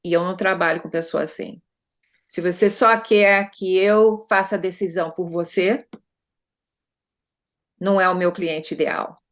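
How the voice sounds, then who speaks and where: A woman's voice: fairly low pitch, steady tone, a little slow, long pauses. A middle-aged Brazilian woman consultant speaking conversationally in an interview, often adding tag questions and restarting her sentences. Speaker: consultant guest.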